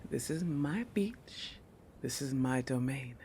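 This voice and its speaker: A woman playing a playful, possessive, territorial shark girl in an intimate roleplay audio, teasing and self-assured, with breathy asides that drop into whispers. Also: a bass voice, lively intonation, slow, dark tone, a little hiss or hum, heavily acted.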